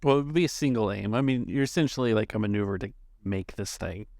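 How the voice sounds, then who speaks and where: A man's voice: lowish voice, fast, vocal fry, darkish tone, dry recording, clean studio sound. A man narrating and refereeing a tabletop roleplaying game as its game master.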